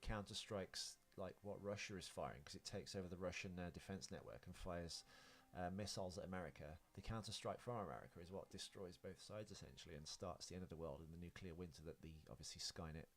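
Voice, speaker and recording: A man's voice: sits low, fast, hardly any pauses. A man co-hosting a podcast, chatting casually about films in a joking, self-deprecating way. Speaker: podcast co-host. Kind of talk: casual film discussion chat.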